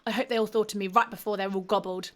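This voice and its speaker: A woman's voice: steady tone, very fast, close to an everyday voice. A woman voicing a grumpy, whiny little male goblin ghost prince in a storybook reading. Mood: eager. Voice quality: whining, high and shrill.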